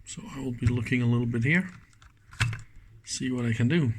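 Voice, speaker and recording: A man giving casual, unscripted hands-on commentary as a teardown host, musing in a halting way and trailing off mid-thought. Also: medium pitch, noisy background. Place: indoors at a workbench.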